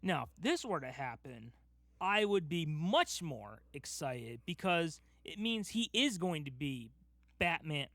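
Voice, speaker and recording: Emphatic, higher-pitched man podcasting. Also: very animated, unhurried, bright, forceful tone, no room sound. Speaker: podcast host. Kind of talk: casual fan discussion.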